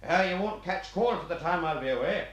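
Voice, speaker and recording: A man's voice: high voice, animated, brisk, a bit bright, reverberant, slight background noise. An actor playing a jovial, chatty English hangman with a Northern accent, breezy and folksy in a radio play.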